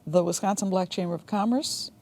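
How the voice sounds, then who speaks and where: This is a woman with an American accent, working as a television host. Her voice sits at an average pitch with a soft, mellow color. She asks questions in an interview style. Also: a little fast. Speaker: television magazine show host. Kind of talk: interview hosting.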